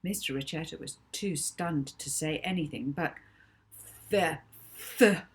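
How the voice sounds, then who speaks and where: A woman's voice: low-pitched, moderate pace, husky. A woman reading her own children's novel aloud as a storyteller, with chatty asides. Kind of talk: storybook narration with chatty introduction.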